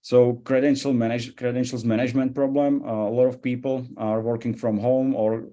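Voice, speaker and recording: A man with a Central European accent presenting a webinar as an expert, speaking haltingly with frequent hesitations. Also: medium pitch, level tone, dark tone. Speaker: cybersecurity expert giving a presentation.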